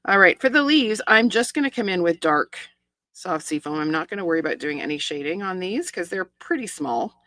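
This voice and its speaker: Hoarse chatty woman teaching card-making. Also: lowish voice, lively intonation, fairly quick, fairly bright tone, no echo. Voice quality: croaky. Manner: chatty, explains each step with asides and small laughs. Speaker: stamping and card-making demonstrator. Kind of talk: casual live craft tutorial.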